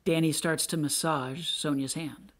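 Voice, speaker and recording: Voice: medium pitch, steady tone, a little slow, fairly bright tone. A narrator reading scripted stage directions for an audio play in plain descriptive sentences.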